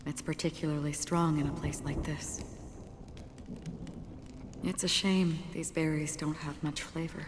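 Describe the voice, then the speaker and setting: An actress playing a calm, melancholy female wanderer in a game, speaking softly and breathily in a contemplative way. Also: low-pitched, measured pace, long pauses, background noise. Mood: wistful, serene. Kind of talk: quiet dramatic game dialogue. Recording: long reverb, music behind the voice. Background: music.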